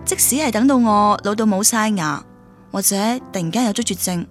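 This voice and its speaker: A woman's voice: medium pitch, brisk, soft background music, close to an everyday voice. An actress playing a chatty, earnest and stubborn young female friend in a Cantonese radio drama. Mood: earnest, determined. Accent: Cantonese from Guangdong. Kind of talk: scripted radio drama acting.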